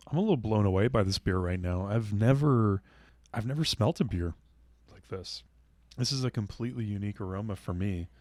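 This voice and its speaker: A man's voice: lowish voice, darkish tone, no echo. A man co-hosting a casual beer tasting, raving enthusiastically about what he smells and tastes. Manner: enthusiastic, effusive. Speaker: beer reviewer, co-host.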